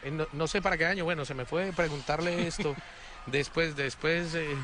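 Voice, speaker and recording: A man's voice: a little high, fairly even intonation, fairly quick, background noise. A man from Colombia giving co-commentary on a youth football match, analytical and prone to restarts.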